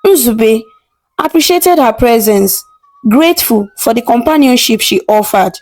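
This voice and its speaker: A woman with a somewhat high-pitched voice tells a scripted folktale. Her storytelling is measured and expressive, with faint music playing behind her. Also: poor audio.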